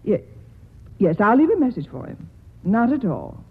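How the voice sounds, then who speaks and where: An actress playing a wealthy, dignified woman with a sharp, wounded manner in a 1940s radio play. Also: medium pitch, very animated, moderate pace, slightly rough, in a large hall. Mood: flustered. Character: rich proud adult woman, jealous. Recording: tinny old radio broadcast.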